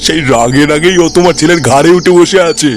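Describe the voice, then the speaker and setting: A man voicing a solemn, authoritative village priest in a comic cartoon, speaking gravely and with assurance. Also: on the high side, fast, close to an everyday voice. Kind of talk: comic cartoon dialogue in colloquial Bengali. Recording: music playing behind the voice.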